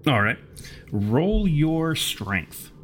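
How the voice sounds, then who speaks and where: A man's voice: a little high, big pitch swings, very slow, fairly bright tone, a little hiss or hum. A man narrating a tabletop horror game as game master, in a descriptive storytelling style.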